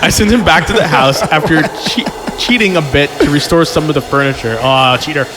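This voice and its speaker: A man reading a story aloud as a podcast host, giggly and excitable, often breaking off into casual joking asides. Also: a little high, a little fast, low-quality recording. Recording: music behind the voice.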